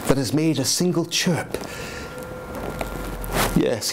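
A man's voice: medium pitch, background noise, natural voice. Older man acting a theatrical, unhinged gothic murderer. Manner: halting, repetitive, suspenseful, with sudden swells and chuckles. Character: unhinged, gleeful murderer confessing his crime with sly pride. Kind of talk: theatrical dramatic reading of gothic horror. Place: small dim room, live before an audience.

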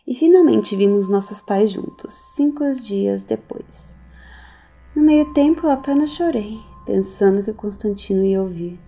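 A mellow, creaky voice, acted by a woman for an unhinged, sardonic woman in an audio drama. She muses theatrically and nihilistically, with faint music behind her. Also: mid-range voice, animated, unhurried. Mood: bitter recollection. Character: unhinged woman recounting her cultish family's cruelty, mocking, nihilistic.

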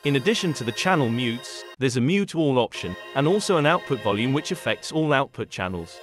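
A man reading a scripted software tutorial in a measured, instructional way. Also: medium pitch, lively intonation, music in the background. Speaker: tutorial narrator.

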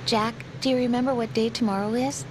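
A young female analyst voiced by an actress in a video game radio call, tender yet evasive. Her voice sits fairly high, with clear noise behind it. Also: steady tone, a little fast, natural voice. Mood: hesitant, wistful.

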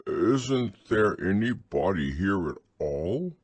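A man voicing a slow, simple bear who loves food, speaking in a drawn-out, pondering way. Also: low voice, big pitch swings, breathy edge, no room sound, heavily acted. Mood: puzzled.

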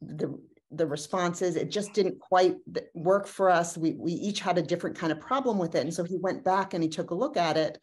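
A woman's voice: lowish voice, brisk, slightly rough. A woman explaining conversationally in a panel discussion over a video call, correcting herself as she goes. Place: home room, on a video call.

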